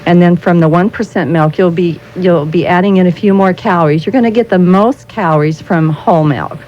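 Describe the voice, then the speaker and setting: A dull radio recording with faint noise carries a woman with a fairly low voice. She explains things in a practical, instructive way, as a spokesperson being interviewed. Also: a little fast. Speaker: nutrition spokesperson, interview guest.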